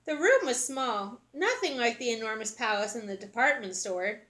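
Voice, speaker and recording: Woman, children's read-aloud, high pitch, expressive, dramatic emphasis, storytelling teacher, echoey room. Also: moderate pace.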